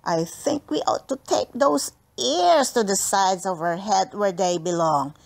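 A woman's voice: mid-range voice, animated, a little slow, gravelly. A large, square-built schoolgirl who leads a gang of bullies, voiced by a woman reader. She is bossy and taunting, in storybook style.

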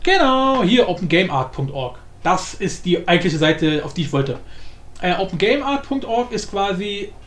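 A man giving a casual software tutorial, rambling informally in slang and thinking aloud. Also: high voice, big pitch swings, moderate pace. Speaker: tutorial host. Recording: echoey room, faint background noise.

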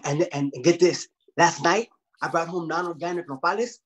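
A man's voice: a little high, moderate pace, lightly acted. A man acting a defensive, streetwise young guy in a comedy table read, stammering and repeating himself in slangy speech on a video call. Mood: mock concern. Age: young adult. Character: defensive, blustering grown man, streetwise cousin. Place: home room on a video call. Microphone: laptop microphone.

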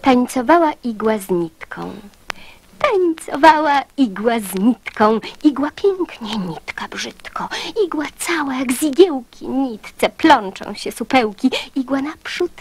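A woman reciting playful verse for children in an expressive style. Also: high-pitched.

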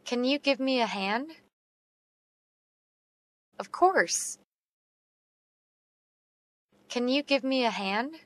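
Woman reading practice lines super slow, a bit high, dry close mic. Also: lively intonation, dry recording. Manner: slow and carefully enunciated. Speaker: English teacher reading dialogue. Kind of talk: slow read-aloud English practice phrases.

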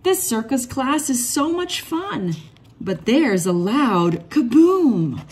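A woman reading a rhyming children's picture book aloud in an expressive, measured storytelling manner. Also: high-pitched, slightly rough. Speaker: read-aloud storybook reader.

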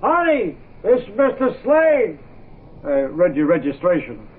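A man's voice: high-pitched, big pitch swings, a little slow, phone-quality sound. A man playing a slick, chatty stranger in a 1960s radio drama. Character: chatty, slick East Coast sharp dresser, male. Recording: thin old radio with faint noise.

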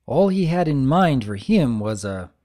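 A man narrates a story in a dramatic reading style. His voice sits at a medium pitch and moves expressively up and down. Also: big pitch swings, a little slow, dark tone.

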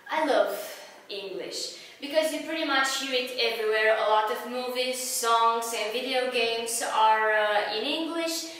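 A young woman giving a relaxed, chatty, slightly self-mocking reason for her love of a language. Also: a little high, measured pace, hardly any pauses, far from the mic. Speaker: contributor to a language video. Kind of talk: casual personal statement. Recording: very echoey room, off-mic.

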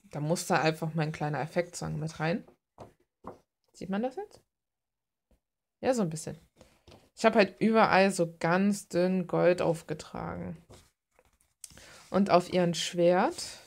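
Low-pitched young woman chatting casually. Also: creaky. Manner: informal, playful, slangy. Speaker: hobby artist and video maker. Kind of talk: casual rambling vlog chat.

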